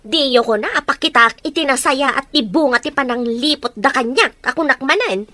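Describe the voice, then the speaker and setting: A woman's voice: sits high, moderate pace, sharp tone, no room sound. A woman acting a bitter, hard-hearted betrayed wife in an Ilocano radio drama, with an Ilocano accent.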